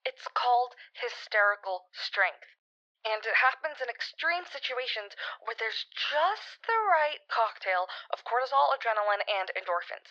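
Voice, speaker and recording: A woman's voice: sits high, sharp tone, full character voice. A woman playing a friendly lab scientist leaving a chatty, carefully explanatory voicemail in an audio drama, through a telephone filter. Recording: muffled, band-limited voicemail phone line.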